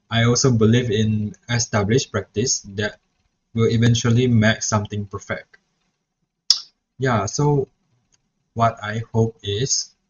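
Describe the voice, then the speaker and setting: A young man who grew up speaking Mandarin, talks through a reflection vlog as a student teacher, hesitant and full of "so yeah" restarts. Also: lowish voice. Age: young adult. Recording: dull lo-fi recording.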